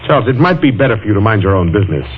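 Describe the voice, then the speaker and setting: A man's voice: lowish voice, sing-song, very fast. An actor playing a haughty, mocking man in a 1940s-style radio drama, theatrical and scornful, on an old hissy broadcast. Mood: defensive, curt. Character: smug, mocking, handsome male stage actor. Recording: slightly muffled, hissy vintage radio broadcast.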